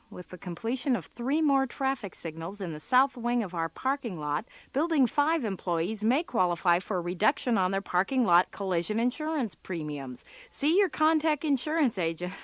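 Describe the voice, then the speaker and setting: A woman's voice: medium pitch, fairly bright tone, no echo. An actress playing a sardonic, exasperated female office computer worker in a comic radio drama, given to wry muttered asides.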